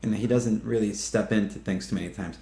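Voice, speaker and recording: A man's voice: lowish voice, fairly even intonation, brisk, creaky, warm tone. A man in his fifties, a filmmaker guest telling stories in casual interview chat, laughing often and acting out what others said. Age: 50s. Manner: chatty, laughing storyteller who acts out quotes. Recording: roomy echo.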